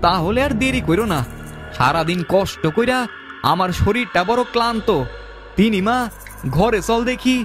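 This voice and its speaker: A man's voice: sits high, moderate pace, long pauses, fairly bright tone. A man voicing a tired, complaining village farmer who is a husband and father, with faint music behind. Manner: complaining, weary.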